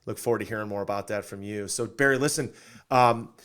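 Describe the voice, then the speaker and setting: A man's voice: fairly low pitch, a little fast, a bit bright. A man hosting a podcast in an upbeat interview style, enthusiastic and affirming as he talks. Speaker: podcast host.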